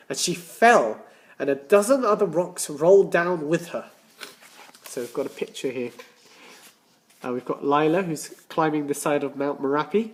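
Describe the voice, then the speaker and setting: A man reading a children's story aloud as a teacher, with deliberate dramatic emphasis and questions to his listeners. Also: a little high. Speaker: primary school teacher.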